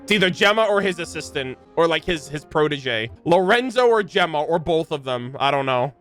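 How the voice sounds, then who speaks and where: Young male streamer, very animated intonation, slightly high voice, slangy casual reactions, game music behind. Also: moderate pace. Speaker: gaming streamer.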